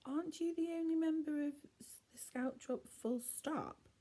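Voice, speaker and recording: A woman's voice: high voice, unhurried, mellow, voice pushed up. A woman voicing a bossy, fearless young girl with a demanding manner in a children's story. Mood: mocking.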